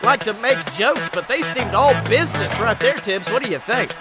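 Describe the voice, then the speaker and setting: Excitable folksy wrestling announcer, played by a man, high-pitched and theatrical, over loud music. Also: normal speed. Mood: hyped, dramatic. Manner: theatrical, emphatic, addressing co-commentator. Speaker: play-by-play wrestling commentator. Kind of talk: wrestling broadcast commentary. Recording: music behind the voice.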